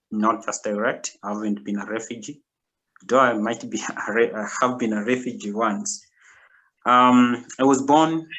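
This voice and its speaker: A man from Kenya telling his own story as an invited speaker on a video call, halting now and then with fillers. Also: fairly low pitch, a bit bright, low-quality recording.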